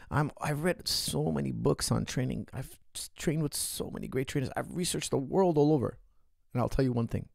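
A man's voice: medium pitch, creaky, darkish tone, no room sound. A man in his thirties, a martial arts coach, talking energetically on a podcast Q&A, repeating phrases for emphasis into a close studio mic in a small room. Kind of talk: casual podcast Q&A. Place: small indoor room, seated. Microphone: studio mic on boom arm, close.

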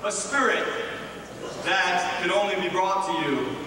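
An American man in his thirties hosting on stage, with theatrical, mock-solemn comic delivery and halting pauses. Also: high-pitched, expressive. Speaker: host of a church Easter show. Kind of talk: comedic stage emceeing. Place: large hall stage before an audience. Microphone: handheld-type mic on a stand, picked up from afar. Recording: echoey hall from far off, crowd noise.

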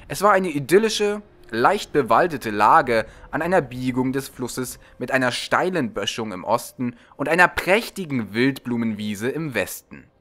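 A man's voice: medium pitch, fairly bright tone. A man narrating a fantasy audiobook in a dramatic, scripted storytelling style.

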